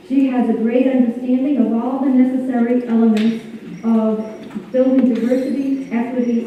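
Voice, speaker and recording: A woman reading a formal scripted introduction of a guest speaker at a podium, somewhat halting and stumbling over words. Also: a little high, muffled recording. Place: large echoey hall at a college ceremony. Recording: very echoey hall, distant, thin, faint noise.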